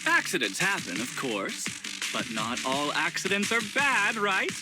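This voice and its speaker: A man voicing an excitable, smooth-talking film director in a video game, brisk and theatrical. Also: high-pitched, exaggerated voice. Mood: breezy, reassuring. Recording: loud music behind the voice.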